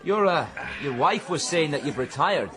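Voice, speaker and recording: An actor playing a chummy, cynical pub gossip in a radio drama, speaking in an informal, knowing way. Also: male voice, a little high, expressive, moderate pace, natural voice. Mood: friendly. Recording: busy crowd chatter behind voice.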